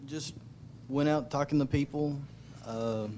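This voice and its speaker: A man's voice: medium pitch, steady tone, measured pace, warm tone, a little hiss or hum. A man giving an informal missionary testimony in church, speaking hesitantly in short broken phrases.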